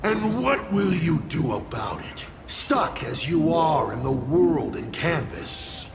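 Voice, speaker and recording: An actor playing a mocking, theatrical male villain in a video game, teasing and drawing out his words, with breathy dips toward a whisper. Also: fairly high pitch, very animated, heavily acted. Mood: taunting. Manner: teasing, taunting, drawn-out dramatic delivery. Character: mocking, theatrical male villain, a fallen navigator turned destroyer. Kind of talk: dramatic video game voice acting. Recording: loud game music behind. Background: game soundtrack music.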